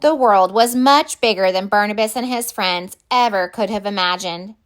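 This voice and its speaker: A woman reading a children's picture book aloud in deliberate, expressive narration. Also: mid-range voice, clean tone. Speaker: storybook reader.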